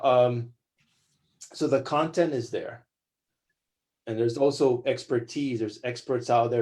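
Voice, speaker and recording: A man's voice: medium pitch, darkish tone. A man from Guam giving an enthusiastic panel presentation over a video call, listing example after example with occasional fillers. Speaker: guest speaker on curricula.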